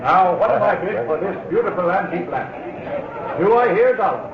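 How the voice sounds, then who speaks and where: An actor playing a jolly charity auctioneer with showy sales patter, in 1940s radio acting. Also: male voice, sits high, animated, fairly quick. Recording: echoey dull old radio recording with crowd.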